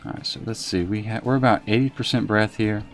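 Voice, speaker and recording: A soft-spoken man giving casual commentary as he plays a game live and answers his chat in a reserved, unhurried way. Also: fairly low pitch, faint music behind. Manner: reserved, unhurried, talks while playing. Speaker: live streamer.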